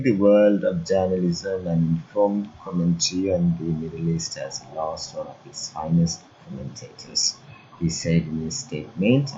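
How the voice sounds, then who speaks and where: A man narrating a scripted news report in a steady read-aloud delivery. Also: low voice. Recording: dull lo-fi audio.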